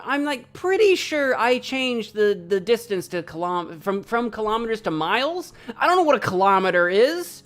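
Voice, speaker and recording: A woman live-streams a game, thinking out loud and joking at her own expense. Her pitch bounces around in a lively way, and she laughs easily. Faint music sits underneath her voice. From United States. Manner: chatty, joking, laughs often, thinks aloud. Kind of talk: casual live-stream commentary.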